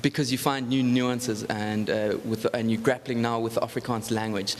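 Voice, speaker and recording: A man, an actor, answering interview questions thoughtfully, pausing often and mixing in Afrikaans words. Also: medium pitch, fairly even intonation, long reverb tail, a little hiss or hum.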